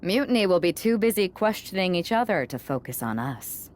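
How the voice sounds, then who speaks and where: A woman's voice: lowish voice, big pitch swings, fairly quick, a little mellow. An actress playing a shrewd, wary female wrestler co-leading a team in a video game cutscene, cool and calculating.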